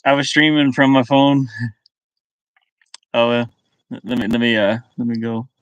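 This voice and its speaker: Slow-talking middle-aged man, rambling casually. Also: medium pitch, steady tone, clear, resonant tone, dry recording, lo-fi sound. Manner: rambling, muttering to himself in short broken phrases. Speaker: livestream host. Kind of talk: casual live chat with viewers. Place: inside a van. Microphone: webcam.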